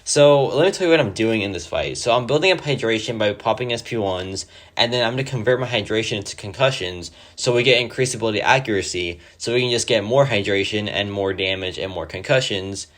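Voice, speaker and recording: A man with a hoarse, sore-throated voice giving casual, enthusiastic gameplay commentary over a home mic. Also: on the low side, brisk, hardly any pauses, a bit bright. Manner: enthusiastic, rambling, many "like" fillers. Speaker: mobile game player.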